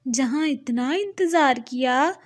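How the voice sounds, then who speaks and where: A woman's voice: high voice, lively intonation, takes it slowly. A woman voicing a plump female pigeon who talks in a coaxing, persuasive way in a children's cartoon.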